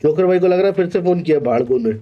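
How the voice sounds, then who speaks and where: A man's voice: high-pitched, fast, dull audio. A man chatting casually with his squad during a team shooter game. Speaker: squad gamer in a team match. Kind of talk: casual gaming chat.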